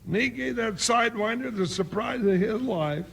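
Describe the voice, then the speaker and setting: An actor playing a folksy, good-natured cowboy deputy sidekick with an American Western accent in a 1950s radio drama. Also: male voice, very high voice, normal speed, gravelly, fairly bright tone, heavily acted. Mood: folksy, earnest. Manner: folksy drawling cowboy slang. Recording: very noisy old 1950s radio recording.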